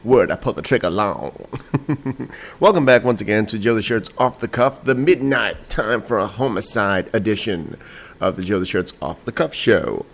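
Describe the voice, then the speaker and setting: Rambling, tipsy late-night podcast host. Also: male voice, fairly low pitch, expressive, moderate pace. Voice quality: a little nasal. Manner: rambling, laughing, profane, with many fillers. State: slightly tipsy. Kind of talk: late-night casual solo talk show. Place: home at night.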